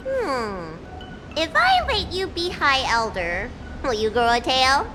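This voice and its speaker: A woman's voice: sits high, very animated, slow pace, heavily acted. A woman voicing a small, blunt and curious young dragon girl in a video game, childlike and frank. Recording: game music behind the voice.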